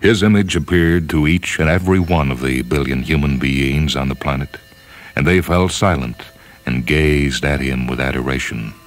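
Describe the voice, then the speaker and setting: A man narrating a scripted story in a measured, solemn manner. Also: rumbling low. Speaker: narrator, reader. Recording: music behind.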